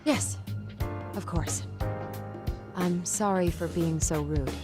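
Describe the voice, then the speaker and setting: A woman's voice: lowish voice, slow, music in the background. A woman playing a stern, suspicious schoolteacher in a video game, formal and pointed in manner. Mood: politely apologetic. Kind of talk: video game cutscene dialogue.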